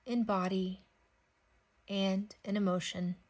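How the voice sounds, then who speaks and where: A woman leading a guided sleep meditation, speaking gently in unhurried phrases with long pauses. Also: medium pitch, flat delivery.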